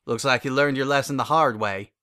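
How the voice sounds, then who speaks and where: A man's voice: medium pitch, fairly quick, sharp tone, smooth, lightly acted. A man voicing a plain-spoken, practical country farm mare, caring and firm, with a folksy, blunt manner. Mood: teasing.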